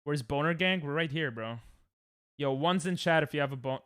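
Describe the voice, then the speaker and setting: A young New York man chats loosely while streaming a game, joking around and getting worked up over the play. His voice sits a bit high and comes through smooth and clear. The recording is close and completely dry. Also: normal speed, no echo. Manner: joking, excitable, trails off mid-sentence. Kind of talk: casual gaming stream chat.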